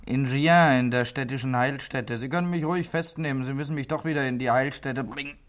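An actor playing a defiant, cynical young vagrant with bad lungs, insolent and evasive, in a 1960s radio play. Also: male voice, mid-range voice, fairly quick, a bit bright, close to an everyday voice. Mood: mocking, resigned. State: sickly, coughing. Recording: noisy old radio recording.

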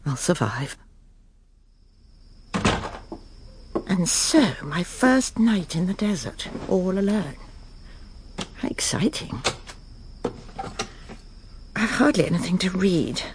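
An actress playing a prim, self-satisfied, controlling middle-aged English wife in a radio drama, brisk and genteel. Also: female voice, fairly low pitch, expressive, background noise. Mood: brightly stoical. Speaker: lead actress and narrator. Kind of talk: radio drama acting with inner monologue.

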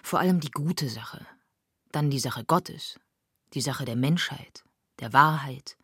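A woman playing a self-willed egoist thinker in a husky, partly whispered, deliberate radio reading. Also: extremely low pitch, fairly bright tone, dry recording, clean studio sound, full character voice. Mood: hushed, brooding. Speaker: reader of literary quotation. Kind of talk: dramatic literary reading in a radio feature.

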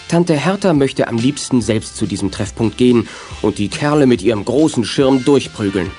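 A man's voice: medium pitch, darkish tone. A man reading scripted story narration for a youth audio drama.

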